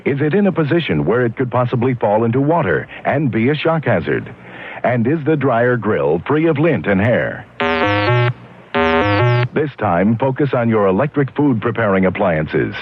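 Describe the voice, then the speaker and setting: Lively-toned male announcer, muffled radio. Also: medium pitch, husky. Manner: methodical, instructing. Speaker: public service announcer. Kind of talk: scripted instructional radio spot. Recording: muffled vintage radio, faint music.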